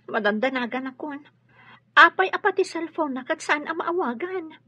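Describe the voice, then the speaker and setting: An actress playing an anxious, plaintive wife in a radio drama. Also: female voice, high-pitched, lively intonation, normal speed, bright, forceful tone, dry recording. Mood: worried. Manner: worried, plaintive. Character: anxious, fretful wife waiting for straying husband. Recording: muffled lo-fi radio drama with music.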